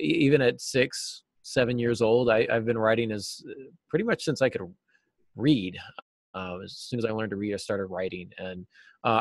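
An American man in middle age speaks in a fairly low voice. He talks conversationally and thoughtfully, as a guest being interviewed. The sound is dry, with little room in it. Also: creaky, dry recording. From United States. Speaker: interview guest, novelist and teacher. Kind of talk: casual interview talk. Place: small cluttered office, indoors. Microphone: video call.

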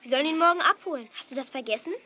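An actress playing a cheeky, blunt little sister in a children's audio drama, pert and direct. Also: female voice, high-pitched, rapid-fire, sharp tone, a little hiss or hum. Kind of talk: 1980s German children's audio drama acting.

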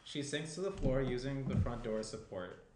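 A man's voice: mid-range voice, moderate pace. A young man reading scripted screenplay narration as the narrator. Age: young adult. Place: small indoor room at a round table. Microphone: shared desk microphone. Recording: roomy echo, faint noise.

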